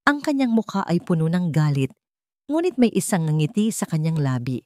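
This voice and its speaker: Woman narrating a romance drama, low voice, very dry close sound. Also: very animated, normal speed, mellow, dry recording. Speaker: story narrator.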